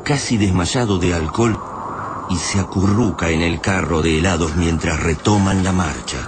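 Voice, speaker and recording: Deep, solemn Argentine radio drama narrator , a man. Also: close to an everyday voice. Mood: solemn, measured. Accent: Argentine Rioplatense. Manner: measured, literary. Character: grave, solemn male storyteller of doom. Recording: noisy sound-effects bed behind narration.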